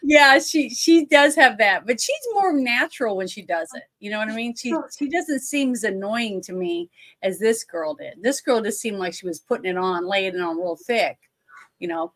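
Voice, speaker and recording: A middle-aged woman with a fairly deep voice, co-hosting a casual movie review over a video call, blunt and opinionated. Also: expressive, moderate pace, fairly bright tone. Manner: blunt, opinionated, laughs often. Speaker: co-host. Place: indoors at home. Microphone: webcam on a video call.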